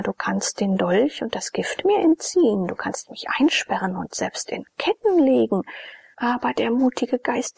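A woman's voice: high voice, gravelly, a little mellow, no room sound, pitched above the performer's own voice. A woman whispering as a proud defiant young woman. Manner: solemn, defiant.

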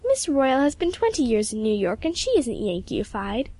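Woman playing a high-voiced, earnest young woman. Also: sing-song, normal speed, dry recording. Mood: resentful, insistent. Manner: earnest, pleading. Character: earnest, sensitive young woman with literary ambitions.

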